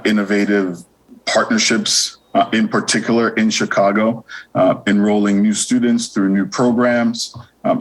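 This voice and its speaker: A man in his forties from Trinidad and Tobago, a university administrator answering interview questions in a measured way with many fillers and restarts. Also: lowish voice, husky, rough recording.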